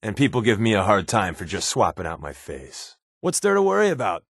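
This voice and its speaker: An actor playing a wisecracking, sardonic spy companion in a video game, with casual scripted dialogue. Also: male voice, lowish voice, wildly swooping pitch, fairly quick, dry recording, studio quality, heavily acted. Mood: wry, joking. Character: wry, joking male spy companion with dry swagger.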